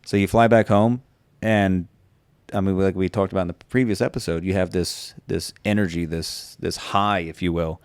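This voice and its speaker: A man hosting a podcast, telling stories in animated casual chat with lots of fillers. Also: deep voice, moderate pace, clear, resonant tone, no room sound. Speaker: podcast host.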